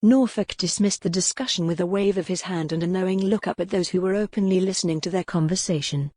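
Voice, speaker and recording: A woman's voice: lowish voice, fast talker, dark tone, no echo, clean studio sound. A woman reading scripted, dramatic narration for a historical romance audiobook.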